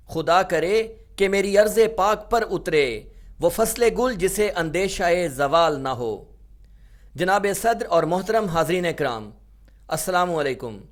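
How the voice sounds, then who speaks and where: A man speaks in a raised, high register, declaiming a prepared speech to an assembly. His delivery is formal and orotund, and he slows to a measured recitation whenever he quotes verse. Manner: orotund, slows for poetry couplets. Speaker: speech competition model speaker.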